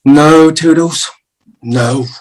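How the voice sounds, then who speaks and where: A woman playing a boastful young boy in an audio play, with a cocky, drawn-out delivery. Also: deep voice, a little mellow, exaggerated voice, poor audio. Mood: bragging.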